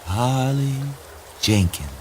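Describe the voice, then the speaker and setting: A man's voice: mid-range voice, lively intonation, slow pace, a little mellow, a little hiss or hum. A man playing an eerie, morbid son in a poetic audio play, with a theatrical, singsong delivery. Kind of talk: poetic spoken word drama acting.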